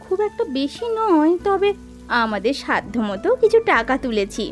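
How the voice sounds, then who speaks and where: A woman plays an eager young village woman in a cartoon, with a high voice that swoops up and down. She speaks respectfully, and soft music plays underneath. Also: big pitch swings, moderate pace. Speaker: village woman collecting funds.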